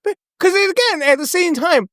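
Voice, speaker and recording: A man's voice: very high voice, expressive, fairly bright tone, no echo, clean studio sound. A man co-hosting a film podcast in casual chat, rambling with many fillers and slipping into character voices. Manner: rambling, lots of fillers, quick impressions of characters. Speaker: podcast co-host. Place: podcast studio.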